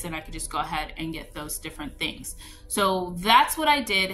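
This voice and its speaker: A woman in her thirties talks casually to her camera, laughing lightly as she explains her shopping habits. Her voice sits a little low for a woman. Faint music plays under her. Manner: chatty, explains with hand gestures, laughs between points. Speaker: homestead vlogger. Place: home room, later a grocery store and kitchen counter.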